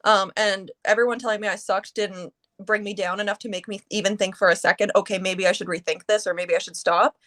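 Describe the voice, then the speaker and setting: A young woman in her early twenties, a singer from Canada, chats in an interview. She talks in a loose, rambling way with plenty of fillers, her voice sitting at an ordinary mid pitch. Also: steady tone, a bit bright. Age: 20s. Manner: chatty, many fillers, quick laughs. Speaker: guest singer. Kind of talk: casual interview chat.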